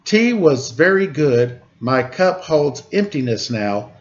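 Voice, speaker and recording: A man voicing a polite little panda nephew in a storybook, speaking in deliberate, poem-like phrases. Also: a little high, sing-song, lo-fi sound.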